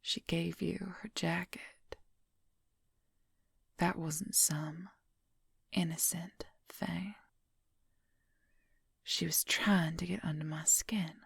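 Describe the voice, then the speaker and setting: A woman acts out a possessive young werewolf woman in an ASMR role-play. She whispers very slowly and intimately, with a husky, breathy voice that crackles with heavy fry. The sound is close and dry. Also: lowish voice, fairly even intonation, dry recording, heavily acted. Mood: possessive, jealous.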